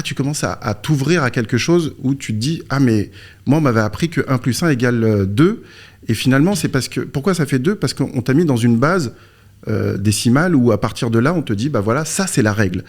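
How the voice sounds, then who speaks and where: A man's voice: mid-range voice, expressive, a little fast. A man from France, born in Morocco, speaking as an interview guest and coach, explaining informally through anecdotes and rhetorical questions. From born in Morocco, moved to France aged three or four. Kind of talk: conversational explanation with personal anecdotes.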